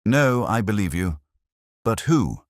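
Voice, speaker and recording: A man playing a calm, measured police inspector who questions people gently and deliberately in a village mystery story. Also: on the low side, no echo, studio quality, pitched above the performer's own voice. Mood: calm, probing.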